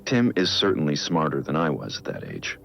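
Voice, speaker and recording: An actor playing a brooding, guilt-ridden middle-aged vigilante who records grave, measured, introspective audio logs in a video game. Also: male voice, wildly swooping pitch, a bit bright, a little hiss or hum, exaggerated voice. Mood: measured, reflective. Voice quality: deep, gravelly. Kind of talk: scripted audio log narration, video game acting. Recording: muffled lo-fi audio log, music underneath. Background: music.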